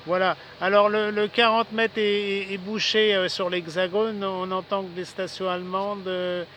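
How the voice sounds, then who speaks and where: A man's voice: high-pitched, flat delivery, moderate pace, sharp tone. A man chatting casually as an amateur radio operator outdoors, often repeating himself and adding little tags. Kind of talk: casual ham radio exchange. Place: outdoors in a city park. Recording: outdoor recording with faint ambient noise.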